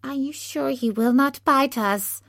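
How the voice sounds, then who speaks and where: A woman voicing a tiny, proud mouse queen in a storybook, with a small squeaky voice and regal, emphatic delivery. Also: expressive, slow pace, fairly bright tone, no room sound, clean studio sound, full character voice. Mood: timid.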